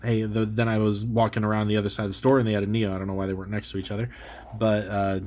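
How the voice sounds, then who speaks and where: A man's voice: fairly low pitch, little pitch movement, very fast, darkish tone. A man with a cold, sounding congested, talks casually as he opens and reviews an action figure, full of fillers and restarts. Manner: rambling, filler-heavy, self-correcting. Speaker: toy reviewer, channel host. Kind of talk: casual unboxing chat.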